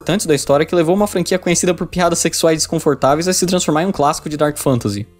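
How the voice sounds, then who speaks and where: A man's voice: fairly high pitch, very fast. A Brazilian man delivering scripted narration for a video essay. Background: music.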